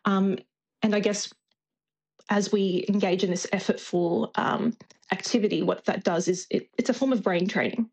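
A woman's voice: mid-range voice, steady tone, normal speed, a little mellow, slightly rough. A woman researcher, a guest on a radio interview, explaining thoughtfully.

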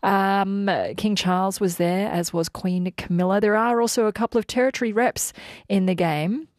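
A woman's voice: mid-range voice, fairly even intonation, moderate pace, no room sound, studio quality. A woman hosting a live radio show, upbeat and bantering with her guest and producer. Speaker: radio host. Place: radio studio. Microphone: studio mic, dry.

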